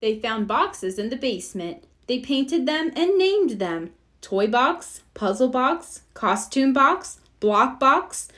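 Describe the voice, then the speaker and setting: A woman narrating a children's storybook aloud in an expressive read-aloud style. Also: sits high, takes it slowly, few pauses. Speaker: storybook reader.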